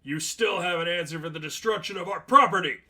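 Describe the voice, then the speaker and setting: A man's voice: sits high, a little fast, bright, forceful tone, far from the mic, voice pushed up. A man voicing a furious, blustering restaurant manager in a dramatized story reading, sputtering with indignation. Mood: indignant, exasperated. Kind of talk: dramatized fan fiction reading.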